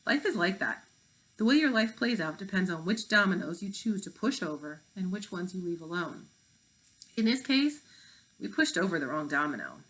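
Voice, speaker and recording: A woman's voice: fairly low pitch, very animated, moderate pace, a bit bright, poor audio. A woman reading the part of a philosophical fifth-grade boy in a thoughtful, precise manner.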